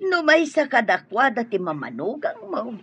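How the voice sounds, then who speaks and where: A woman's voice: a little high, wildly swooping pitch, moderate pace, fairly bright tone, breathy edge, exaggerated voice. An actress with an Ilocano accent playing a respectful woman who speaks earnestly to her madam in a radio drama. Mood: earnest, deferential.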